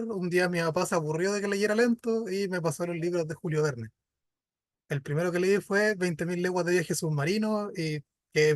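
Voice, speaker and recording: A man with a Chilean accent, a guest author introducing himself in a relaxed, self-deprecating way. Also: high voice, no echo, clean studio sound.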